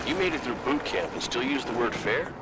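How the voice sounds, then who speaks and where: A man's voice: mid-range voice, animated, brisk, vocal fry, heavy background noise. A man playing a cocky, wisecracking super-soldier in a video game, with sardonic and punchy delivery. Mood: teasing. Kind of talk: video game combat banter.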